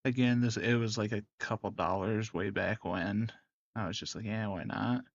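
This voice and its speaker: A man's voice: lowish voice, steady tone, normal speed, creaky, no echo, dull audio. A man giving casual, unscripted game commentary as a host, thinking aloud in a hesitant, noncommittal way. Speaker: gaming channel host doing a visual novel readthrough.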